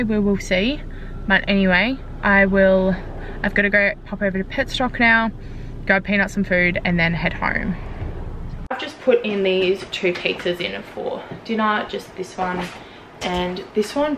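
A woman's voice: mid-range voice, fairly bright tone, low-quality recording. A woman of twenty with an Australian accent, chatting informally to camera in a casual vlog. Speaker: vlogger. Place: parked car interiors and home kitchen. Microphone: handheld camera at arm's length. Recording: background noise, in car or home.

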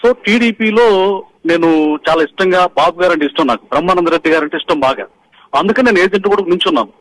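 A man's voice: high-pitched, quick pace, poor audio. A middle-aged man from Andhra Pradesh calling in by phone as a party polling agent, giving an urgent, emphatic account to the media. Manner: urgent, pressing, emphatic retelling. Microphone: phone call.

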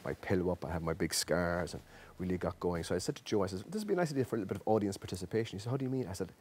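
A man's voice: low-pitched, racing, a little mellow, slight background noise. An Irish man in his fifties telling stories in an interview as an amateur stage actor, acting out quotes as he goes. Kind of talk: interview reminiscence. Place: empty theatre auditorium, seated. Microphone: lapel mic.

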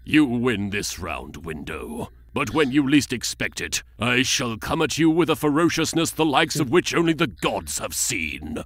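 A man's voice: mid-range voice, sing-song, unhurried, fairly bright tone, no room sound. A man voicing a pompous, petty male pharaoh in a parody anime dub, commanding and melodramatic. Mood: vengeful. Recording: music behind dubbed cartoon dialogue.

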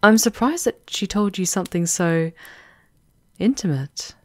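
A woman playing a shrewd, composed High Enchantress in a fantasy ASMR roleplay, with a measured, knowing, formal manner. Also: no room sound, close to an everyday voice. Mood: grave, confiding. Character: shrewd, composed female High Enchantress advising a vampire countess.

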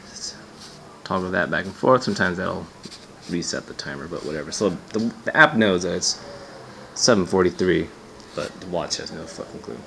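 A man's voice: low voice, normal speed, gravelly, fairly bright tone, slight background noise. A man giving a casual hands-on gadget demo as a reviewer, offhand and sardonic, swearing and sounding exasperated.

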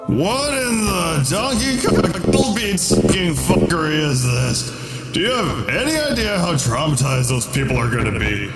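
A man acts a furious, drunken angel in an audio drama. He rants and swears indignantly in a fairly high, swooping male voice. The sound is echoey, with loud music under it. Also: sing-song, unhurried.

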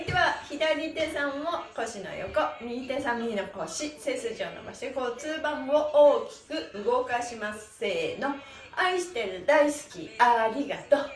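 A middle-aged woman leading a livestreamed stretching lesson in a cheerful, animated manner, with sing-song rhythmic cues, recorded in a small room a few steps from the camera. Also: high-pitched. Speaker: stretching instructor and mime teacher. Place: small indoor room with bare walls. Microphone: camera a few steps away. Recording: roomy echo, faint music.

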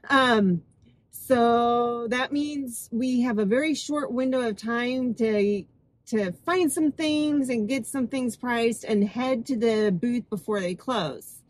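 A woman's voice: a little high, slow pace, no echo. A woman in her thirties chatting casually to camera from inside her car, sounding stuffed up and nasal from a cold, laughing often. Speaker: vlogger. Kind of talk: casual vlog chat to camera. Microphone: phone camera on the dashboard.

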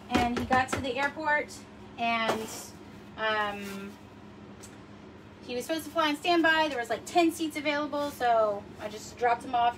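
A woman's voice: sits high, recorded from a distance. A woman in her forties hosting a casual livestream from her home kitchen, chatty and rambling with lots of fillers, a little sniffly. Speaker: livestream host. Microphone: phone camera across the room. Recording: voice a bit off-mic, faint room noise.

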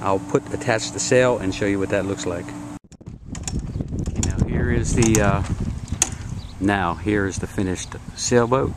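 A man narrating his homemade build in a casual, halting way, filming outdoors in a backyard. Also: fairly low pitch. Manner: halting, many uh fillers, matter-of-fact. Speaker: DIY builder. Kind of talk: casual show-and-tell narration. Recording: noisy outdoor camera audio. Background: wind and outdoor ambience.

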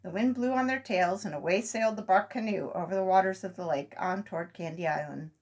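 A woman's voice: mid-range voice, a little fast, darkish tone, breathy edge. An older woman reading a children's story aloud expressively. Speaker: volunteer children's story reader. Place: small room at home.

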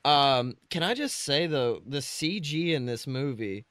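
A man co-hosting a podcast in casual comedic chat, animated and profane, often acting out imagined quotes. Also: mid-range voice, measured pace, no echo. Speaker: podcast co-host.

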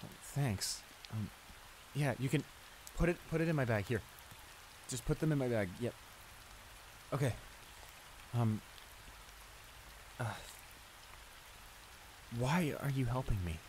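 A man's voice: medium pitch, takes it slowly, pauses often, lightly acted, low-quality recording. A man playing a flustered, apologetic young bully in an improvised roleplay audio drama, speaking in a hesitant, stammering way. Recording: steady rain noise behind the voice. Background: rain.

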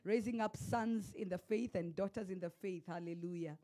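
A Kenyan woman pastor in her forties preaching a sermon into a handheld microphone in a church hall, swinging from loud declarations to hushed storytelling. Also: on the low side, normal speed.

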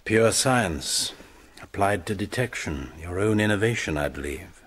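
Suave British villain, low husky voice. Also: male voice, lively intonation, a little slow, fairly bright tone, full character voice. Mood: resigned, admiring. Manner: theatrical, condescending. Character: suave, arrogant male murderer, mocking and cool. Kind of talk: radio drama acting.

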